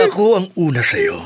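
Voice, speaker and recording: A man's voice: very high voice, vocal fry, heavily acted. An actor playing a lecherous, gloating rich male bar customer in a radio drama. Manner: slow, gloating, leering.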